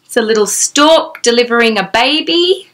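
Casting: female children's storyteller, thirties, high-pitched and very animated, sing-song read-aloud delivery. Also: moderate pace. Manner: exclamatory, sing-song, asking the child questions. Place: small decorated room indoors.

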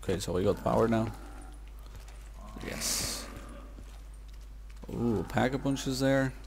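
A man's voice: medium pitch, slow pace, gravelly, slight background noise. A young man giving casual gameplay commentary in slangy language, muttering to himself as he plays. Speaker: gamer, video creator. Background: video game music and sounds.

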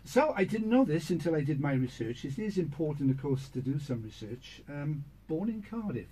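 A middle-aged male radio presenter with a fairly high voice, speaking into a studio boom mic in a small booth. His patter is friendly and brisk, leading the interview along. A faint hiss of room noise sits beneath. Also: very animated, dark tone, rough recording.

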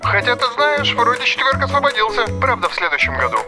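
A man's voice: a little high, very animated, racing, over music. A man playing a busy married dad friend on the phone, casual and apologetic in a comedy sketch.

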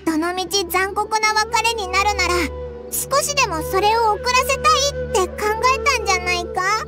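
A woman voicing a tiny, childlike fairy companion in an anime game, squeaky, blunt and good-hearted. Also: moderate pace, pushed and bright. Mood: reassuring. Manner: blunt, boyish casual phrasing. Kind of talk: Japanese anime game voice acting. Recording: studio voice over game music.

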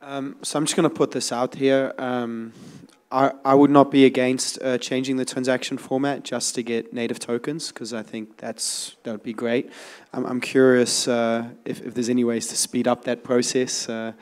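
A man's voice: mid-range voice, little pitch movement, moderate pace, darkish tone, smooth. A man giving his opinions in an informal panel discussion, hesitating and repeating words as he measures his points. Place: conference room with seated audience.

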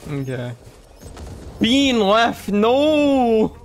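Young woman, unhurried, animated intonation, casual gaming chat, joking, sweary, music behind. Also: fairly high pitch. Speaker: gamer.

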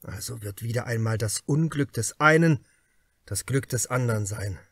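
A man playing a calm, unshakeable police detective. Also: mid-range voice, slightly rough, no room sound, clean studio sound. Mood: brooding. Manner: firm, deliberate. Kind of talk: audiobook character dialogue.

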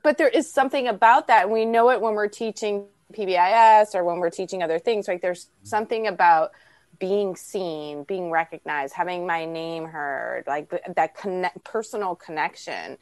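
A woman's voice: fairly low pitch, moderate pace, no echo. A woman hosting a conversational podcast interview, stammering over repeated words as she goes. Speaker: podcast host.

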